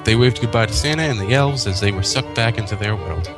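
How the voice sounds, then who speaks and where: A man's voice: on the low side, fast. A man co-hosting and narrating a fan fiction story in a read-aloud style. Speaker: co-host, narrator. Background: background music.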